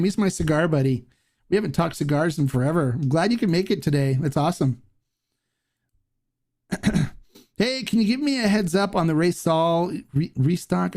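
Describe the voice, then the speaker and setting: A man's voice: a little high, a little mellow. Rambling man answering live-chat questions. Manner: rambling, halting, many restarts and fillers. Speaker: host of a live Q&A stream. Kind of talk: casual livestream Q&A, reading viewer comments.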